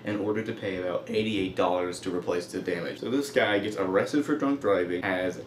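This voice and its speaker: A man's voice: deep voice, a little fast. A young man giving casual, humorous news commentary in an informal, wry way, with mock-emphatic asides. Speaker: host of a comedic news web show. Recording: roomy echo, faint background noise.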